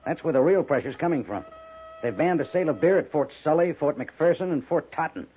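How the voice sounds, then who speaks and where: An American man playing a resigned army major and post commander, measured and a little defensive, in 1950s radio drama. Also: on the high side, expressive, natural voice. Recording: tinny, narrow-band old radio recording.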